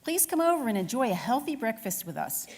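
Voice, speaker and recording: A woman's voice: a little high, big pitch swings, fairly quick, a little mellow, in a large hall, slight background noise. A woman voicing a welcoming male farmer in a children's storybook, hospitable as he explains things.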